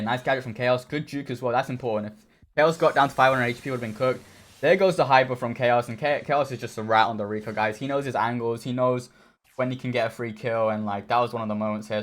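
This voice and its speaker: A man with a hoarse, worn voice casually commenting on his own match, easygoing and rambling with many fillers. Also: mid-range voice. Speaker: esports player reviewing his own match.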